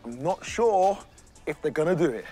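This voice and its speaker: A man's voice: fairly high pitch, expressive, normal speed, dark tone, soft background music. Upbeat man presenting on site. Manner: encouraging, upbeat. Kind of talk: casual on-site chat.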